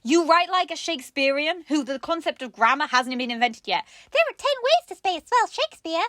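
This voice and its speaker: A woman's voice: sits high, very animated, a little fast, a bit bright, no room sound, studio quality. A woman co-hosting a craft show in casual comedic chat, giggly and playfully sarcastic as she presents.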